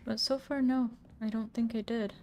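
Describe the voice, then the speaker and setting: A woman's voice: on the high side, fairly even intonation, moderate pace, mellow, a little hiss or hum. Jumpy, chatty woman gamer. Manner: chatty, jumpy, reads in-game notes aloud in a murmur. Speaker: let's play gamer. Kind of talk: casual gameplay commentary.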